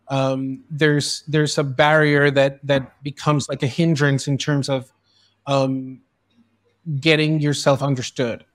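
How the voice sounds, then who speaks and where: An earnest man hosts a podcast conversation, his voice sitting a little high for a man. The sound is dry and close, with no room to it. He talks in long stretches and often restarts his sentences. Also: no echo. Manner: earnest, fluent with frequent restarts. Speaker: podcast host. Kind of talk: conversational interview. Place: in Spain.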